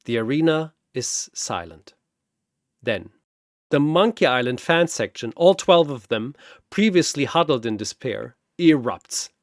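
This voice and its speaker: Male story narrator: theatrical, wry, unhurried delivery, very dry sound. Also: mid-range voice, lively intonation, no echo. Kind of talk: dramatic scripted story narration.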